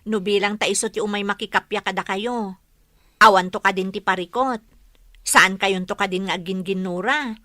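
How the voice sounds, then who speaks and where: A woman's voice: medium pitch, steady tone, normal speed, sharp tone, no room sound, clean studio sound, lightly acted. A woman playing a sensible young daughter who questions and coaxes as a peacemaker in an Ilocano comedy radio drama. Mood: coaxing, reproving.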